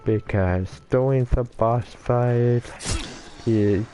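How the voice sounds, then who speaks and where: A man giving casual gameplay commentary in a halting, stuttering way, with many restarts and fillers. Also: mid-range voice, dark tone, muffled recording, soft background music.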